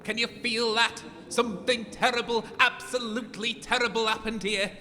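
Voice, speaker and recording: A theatrical ghost-tour medium in a comedy audio drama. The voice is high and bright, with drawn-out, portentous melodrama that easily turns flustered, ringing in a long hall reverb. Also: male voice, flat delivery, a little hiss or hum, exaggerated voice. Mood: melodramatic.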